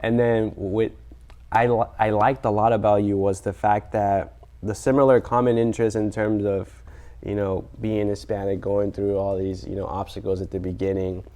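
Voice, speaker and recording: A man's voice: fairly low pitch, flat delivery, normal speed, mellow. A man hosting a podcast, interviewing a guest in a casual, loose way with lots of fillers. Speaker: podcast host.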